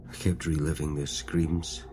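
An actor playing a haunted astronaut, the sole survivor of a lost mission, speaking gravely and haltingly in an audio drama. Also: male voice, a bass voice, level tone, noisy background. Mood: haunted, somber.

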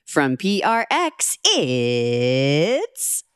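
A woman announcing on a radio show, playful and quick to laugh, her voice a little scratchy. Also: low-pitched, huge pitch swings, smooth, dry recording, studio quality. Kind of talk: casual banter and announcing.

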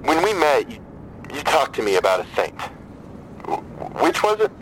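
An actor plays a despairing man calling for help in an audio drama. His middle-pitched voice is hesitant and brooding, stumbling over words and trailing off. Faint rumbling noise sits behind him. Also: big pitch swings, moderate pace, natural voice, rough recording. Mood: hesitant, apologetic. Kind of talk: full-cast audio drama dialogue.

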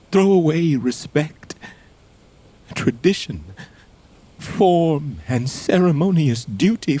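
A husky, despairing deposed king. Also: a little high, full character voice. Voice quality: husky, slow, swinging between hushed and cried out. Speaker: actor playing King Richard. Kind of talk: stage audio drama acting.

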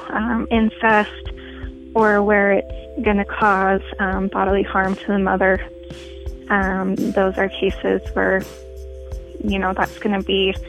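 A woman in her twenties records a personal message as a radio listener. She speaks slowly and hesitantly with little change of pitch, over faint music. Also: rough recording. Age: 20s.

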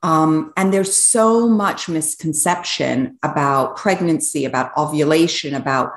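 A woman's voice: sits low, measured pace, echoey room. A middle-aged woman hosting a podcast interview, asking long, enthusiastic, rambling questions. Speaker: podcast co-host.

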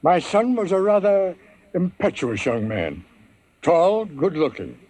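A man's voice: on the high side, very animated, measured pace, darkish tone. An actor playing a wealthy, middle-aged father, formal, guarded and imperious, in a 1950s radio drama. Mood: grave.

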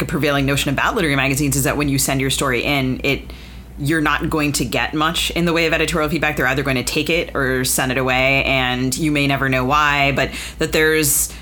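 Very low-voiced woman, thoughtful interviewer. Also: a bit bright, slight background noise. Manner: thoughtful, halting, feels out phrasing. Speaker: podcast host, interviewer. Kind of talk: conversational interviewing.

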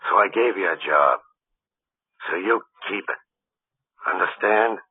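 An actor playing a menacing American gangster boss in an old-time radio crime drama, tough, slangy and threatening. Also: male voice, low voice, a little slow, husky, no echo. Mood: cold menace. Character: menacing gangster boss bullying a young employee. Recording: muffled, phone-like old radio recording.

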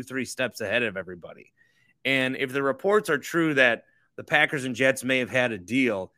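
A man's voice: mid-range voice, bright, forceful tone, dry recording, studio quality. A man hosting a sports podcast, talking casually in an opinionated, blunt manner. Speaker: podcast host.

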